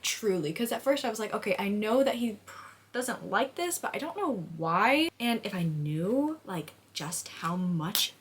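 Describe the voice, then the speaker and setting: A woman's voice: mid-range voice, slightly rough. A woman book vlogger chatting casually and effusively in an informal, emphatic way, with "like" sprinkled through her sentences. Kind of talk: casual chat, emotional book reaction vlog.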